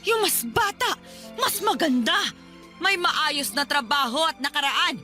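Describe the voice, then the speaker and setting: High-voiced, domineering Filipino mother-in-law. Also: animated, a little slow, pushed and bright, soft background music, heavily acted. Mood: vicious rage. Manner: scolding, sarcastic, haughty. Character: cruel, domineering older mother-in-law, scolding and contemptuous. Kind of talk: radio drama acting.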